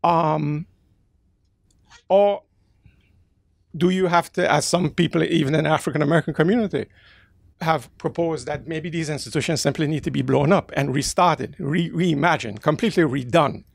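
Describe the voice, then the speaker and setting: Halting gravelly man. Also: a little high, dry recording, clean studio sound. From Caribbean, raised in the Netherlands. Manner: halting and searching, with many fillers and restarts. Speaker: audience member asking a question. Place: bookstore event space.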